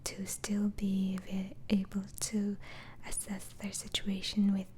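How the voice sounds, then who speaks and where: A woman whispering softly in a slow, hesitant, soothing ASMR roleplay as a gentle, reassuring doctor. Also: mid-range voice, monotone, a little hiss or hum. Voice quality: soft, breathy whisper.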